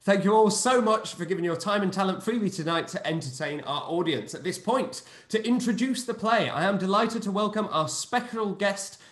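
Male host, high animated voice, brisk introductions, echoey video-call sound. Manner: brisk, announcing names in a lively sing-song. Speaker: host and producer.

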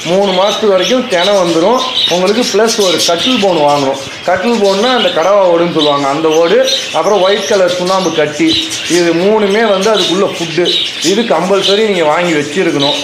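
High-voiced Tamil man at bird farm. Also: brisk. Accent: Tamil Nadu. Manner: talks to viewers directly, walks through costs one by one. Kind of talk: casual how-to explainer. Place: bird farm, amid birds. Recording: echoey, loud background noise. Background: birds chirping.

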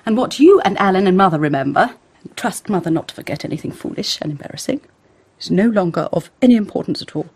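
An actress in a radio play as a sharp, embittered English schoolmistress who lectures disapprovingly in clipped phrases. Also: female voice, fairly low pitch, animated, fast talker, slightly rough. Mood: cold, defiant. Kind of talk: radio drama acting.

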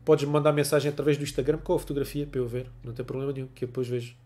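A man's voice: medium pitch, level tone, very fast, darkish tone. A man in his forties with a European Portuguese accent, chatting casually on a livestream with a cold, laughing often and greeting viewers by name, recorded on a wired earphone mic in a small home room. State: has a cold. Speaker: photography livestream host. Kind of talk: casual livestream chat reading viewer comments.

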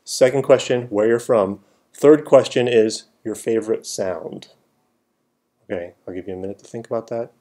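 A man's voice: lowish voice, normal speed, dark tone. A man in his thirties, a professor from Florida, talking casually to a class with many ums and restarts, recorded on a laptop webcam in a classroom.

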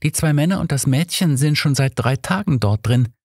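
Guy doing a young workhouse girl for an audiobook, higher voice, matter of fact, kinda guarded and resigned. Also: expressive, normal speed, darkish tone, breathy edge, no echo, studio quality.

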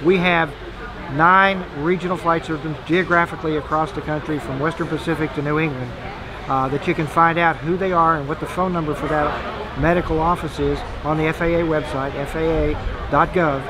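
An American man in his sixties making an informal appeal to camera as a medical official. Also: a little high. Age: 60s. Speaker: physician and government official. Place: exhibit booth in a large indoor hall. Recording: busy exhibit hall chatter.

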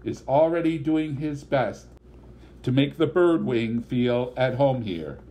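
A man's voice: medium pitch, slow, mellow, natural voice. A man reading the part of a learned, wry madame with a precise, pedantic manner in an audiobook.

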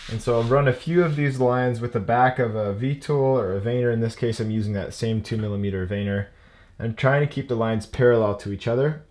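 A young man with a medium-pitched voice teaches a hands-on craft. He talks casually, as if thinking aloud while he works. His phrases come in halting pieces. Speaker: woodcarving instructor. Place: indoor workshop.

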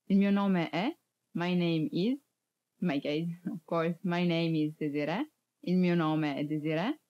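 A young woman with an Italian accent speaks as a language teacher addressing the camera. Her voice sits on the low side for a woman. She is a native Italian speaker, and the recording sounds a little dull and muffled. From Italy. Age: early 20s. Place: indoors, in front of a flag backdrop.

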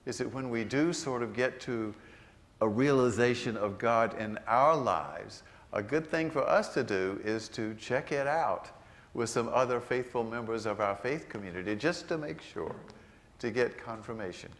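An older man, a priest, delivering a sermon in a large church, slowing down to stress key phrases. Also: mid-range voice, a bit bright. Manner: unhurried, earnest preaching with slowed emphatic phrases.

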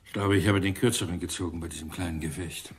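A grim, angry detective inspector from a radio thriller. His voice is very low and extremely husky, full of stubborn resolve. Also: fairly even intonation, quick pace. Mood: grim, brooding. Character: angry, grimly determined male police inspector. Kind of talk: German radio crime drama acting.

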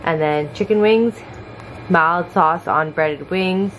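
Young woman rambling to her camera in her bedroom, kinda low voice, swears a lot, super casual. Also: slow, a little hiss or hum. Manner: rambling, chatty, swears freely. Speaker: vlogger. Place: small bedroom at home. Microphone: handheld camera at arm's length.

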